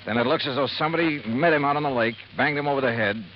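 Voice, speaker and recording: Brisk radio detective played by a man, fast and probing. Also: mid-range voice, natural voice. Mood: shrewd, insistent. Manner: clipped, pressing, questioning. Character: brisk, probing male insurance investigator, hard-boiled but friendly. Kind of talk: 1950s radio drama acting. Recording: dull, noisy vintage radio recording.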